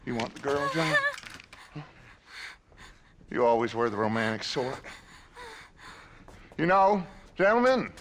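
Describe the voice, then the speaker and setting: A man's voice: medium pitch, sing-song, unhurried, long pauses, a bit bright, slight background noise. A man playing a theatrical, sardonic outlaw gang leader in a western game, drawling with mock courtesy. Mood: taunting. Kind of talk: dramatic western game cutscene acting.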